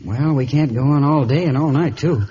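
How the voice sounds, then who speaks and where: A man acting a good-natured but worried young traveler in an old radio adventure serial, plain-spoken, expressive and boyish. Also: a little high, normal speed, natural voice. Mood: weary, wry. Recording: muffled, noisy vintage radio recording.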